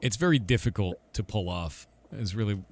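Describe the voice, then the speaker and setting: A man's voice: fairly low pitch, lively intonation, normal speed, darkish tone. A man hosting a stream interview, talking directly and casually while affirming his guest. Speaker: streamer host.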